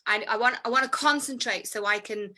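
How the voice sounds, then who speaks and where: A woman's voice: on the high side, a little fast, sharp tone. A woman hosting an improv scene, chatty and giggly with lots of fillers. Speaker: improv performer and host. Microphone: video call.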